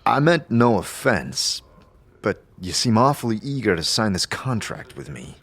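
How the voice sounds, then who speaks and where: A blunt, wry fantasy adventurer played by a man in an audio drama. He speaks in a lowish voice, terse and offhand, as if little impresses him. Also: big pitch swings, a little slow, a bit bright, exaggerated voice. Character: male adventurer hero, blunt, wry, casual.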